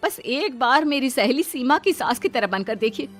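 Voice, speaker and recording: A woman playing young cartoon daughter-in-law, very high voice, plaintive, exasperated, complaining, soft music behind. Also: animated, normal speed.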